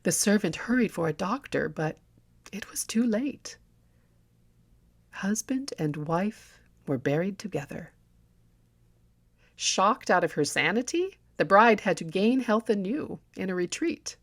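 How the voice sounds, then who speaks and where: A woman narrating a story as an audiobook reader, in a theatrical, deliberate and expressive manner. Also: medium pitch. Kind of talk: dramatic scripted story narration.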